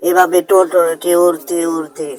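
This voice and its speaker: A man's voice: high-pitched, level tone, moderate pace. A man narrating a story for children as its storyteller.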